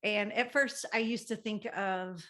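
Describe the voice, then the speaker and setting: A woman podcast host talks with eager energy, backing up her guest and sharing her own habits. Her voice sits at a middle pitch for a woman. She chats in a loose, casual way with fillers. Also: steady tone, unhurried, fairly bright tone. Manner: eager, affirming, chimes in with agreement. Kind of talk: conversational podcast interview.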